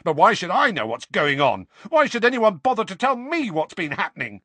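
A male narrator puts on an angry teenage boy wizard from a storybook, pitched up high. He is bitter and sarcastic, firing accusations with big, explosive swings in pitch. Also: expressive, a little fast, bright, forceful tone, slightly rough, no echo, full character voice. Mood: bitter, accusing.

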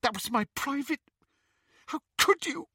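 Man putting on a shrill, spiteful young girl. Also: expressive, a little slow, sharp tone, no echo, studio quality, exaggerated voice. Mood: mortified outrage. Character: bitter, scornful young girl, jealous older sister. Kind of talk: audiobook character reading.

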